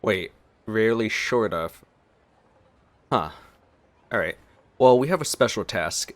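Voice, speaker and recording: A man's voice: on the low side, expressive, takes it slowly, a little hiss or hum. A man giving casual, conversational let's play commentary on a video game.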